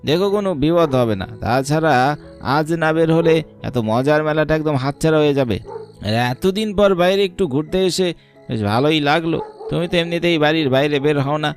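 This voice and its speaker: A man's voice: fairly high pitch, fairly quick, faint music behind, natural voice. A man acting a carefree young village husband in a cartoon, coaxing and reassuring in a breezy, insistent way. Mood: anxious concern, then cheerful coaxing.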